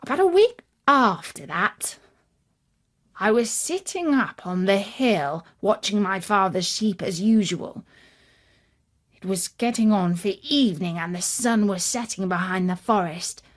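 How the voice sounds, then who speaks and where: A British woman narrating a scripted children's story in a measured, expressive storytelling manner. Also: medium pitch.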